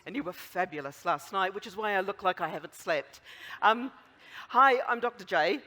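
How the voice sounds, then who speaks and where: A speaker with a strong New Zealand accent giving a conference closing talk, chatty and wry, with many fillers. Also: male voice, sits high, moderate pace, pushed and bright. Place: large conference hall. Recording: long hall reverb.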